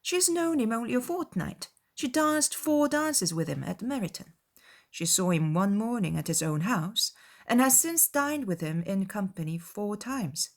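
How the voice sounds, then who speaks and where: Woman acting a spirited, witty young gentlewoman, very animated. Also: medium pitch, normal speed. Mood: reasoning, firm. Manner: wry, spirited. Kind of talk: dramatized audiobook dialogue.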